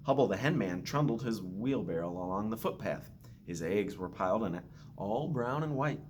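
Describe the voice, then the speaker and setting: A man's voice: fairly low pitch, animated, normal speed, darkish tone, faint music behind. A man reading a children's storybook aloud expressively, with playful asides. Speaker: school staff member reading aloud to children.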